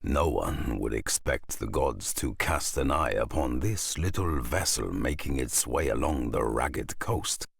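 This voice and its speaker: A grave saga storyteller narrates a Viking video game. The voice is extremely deep, gravelly and dry, moving slowly and solemnly through each line. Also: male voice, lively intonation, no echo, full character voice. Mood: solemn, portentous. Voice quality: gravelly, husky. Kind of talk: dramatic scripted saga narration.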